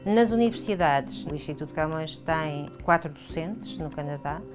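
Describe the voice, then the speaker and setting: Very low-pitched Portuguese woman in interview. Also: lively intonation, normal speed. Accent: European Portuguese. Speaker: language institute coordinator being interviewed. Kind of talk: interview answer. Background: background music.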